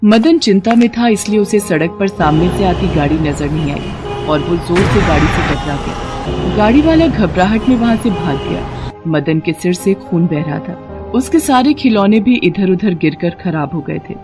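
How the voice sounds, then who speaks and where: A woman narrating a cartoon moral story in a calm, even manner. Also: lowish voice, normal speed, music in the background.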